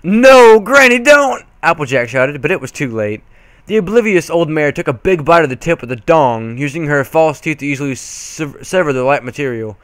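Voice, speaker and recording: A man's voice: on the high side, a little fast, clean tone, no room sound. A man doing an amateur dramatic reading of a story, reluctant and stumbling over words now and then. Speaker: reader of fan fiction.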